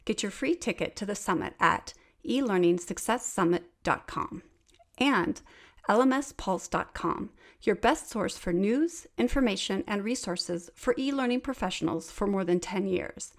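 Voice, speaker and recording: A woman with a mid-range voice, reading a scripted sponsor announcement. Her delivery is smooth and even, in a polished promotional tone. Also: mid-range voice, moderate pace. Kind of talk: scripted ad read.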